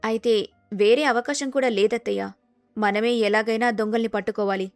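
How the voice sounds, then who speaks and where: Woman acting young daughter-in-law, polite, sensible, calm, highish voice, dry studio sound, faint music. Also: moderate pace, no room sound, close to an everyday voice. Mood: earnest, resolute.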